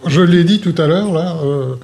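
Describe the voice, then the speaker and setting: A man in a casual group chat who gives quiet, brief and hesitant answers. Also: a little high, normal speed, gravelly. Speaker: guest.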